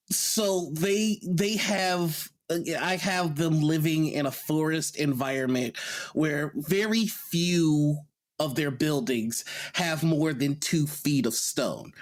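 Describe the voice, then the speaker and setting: Male panelist, higher register, enthusiastic and explanatory, in relaxed conversational tabletop gaming talk.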